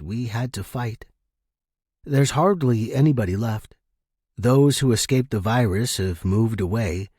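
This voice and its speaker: A man reading a dramatic first-person story in measured, deliberate short clauses. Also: mid-range voice, a little mellow, husky, no echo, studio quality. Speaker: narrator. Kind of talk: dramatic scripted fiction narration, first-person present tense.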